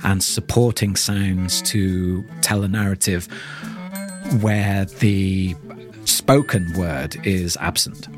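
A man's voice: deep voice, steady tone, slow, over music. A man hosting a podcast, talking through an introduction in a thoughtful, explanatory way. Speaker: podcast host.

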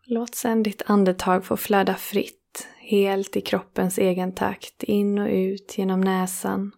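Flat-toned, creaky-voiced woman guiding meditation. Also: medium pitch. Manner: slow, soothing, with long pauses between phrases. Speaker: meditation guide and host. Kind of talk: guided relaxation meditation, yoga nidra.

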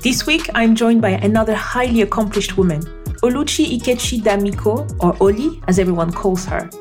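A woman's voice: medium pitch, brisk, darkish tone, soft background music. Polished woman podcast host. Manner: polished, scripted introduction. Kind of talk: podcast interview. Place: remote call. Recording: roomy remote recording.

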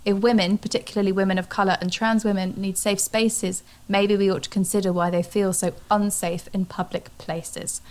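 A woman's voice: mid-range voice, little pitch movement, normal speed, few pauses. A British woman in her thirties chatting informally and animatedly as she co-hosts a casual book discussion. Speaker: podcast co-host.

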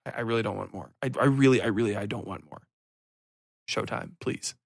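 A man's voice: fairly low pitch, brisk, creaky, dry recording, studio quality. A man hosting a solo podcast, giving casual review commentary in a rambling, think-aloud way with some casual swearing. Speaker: podcast host.

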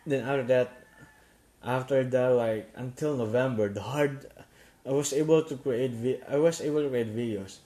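A young man telling a personal story to the camera in a casual, halting way, with many restarts and fillers. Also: medium pitch, warm tone. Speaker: gaming YouTuber.

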